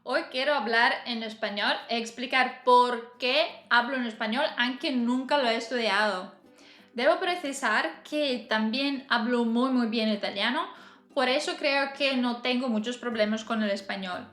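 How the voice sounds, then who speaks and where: A woman's voice: on the high side, unhurried, fairly bright tone. A woman in her thirties chatting casually to camera as a vlogger, laughing often between hesitant fillers. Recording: roomy echo, faint music behind.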